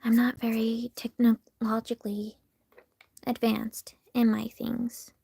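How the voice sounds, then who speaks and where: A woman with a breathy, gravelly, half-whispered voice chats with her live-stream viewers while she draws, candid and easygoing. Her tone is mellow and soft rather than bright, and the sound is close and dry, caught on her phone. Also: on the high side, monotone, slow pace, dry recording. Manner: chatty and candid, talks while working. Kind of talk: casual livestream chat answering viewers.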